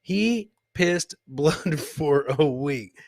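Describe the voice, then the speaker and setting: A man's voice: fairly high pitch, lively intonation, extremely slow, vocal fry, darkish tone, no room sound, studio quality. A man hosting a comedy podcast, casually commenting on funny video clips and repeating his own catchphrases. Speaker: podcast host.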